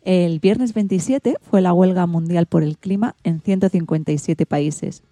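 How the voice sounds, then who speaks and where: A woman with an accent from Spain presents a radio show from a script. Her voice is fairly low and soft-edged, recorded very dry and close. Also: a little slow, dry recording, faint music behind. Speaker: radio program host.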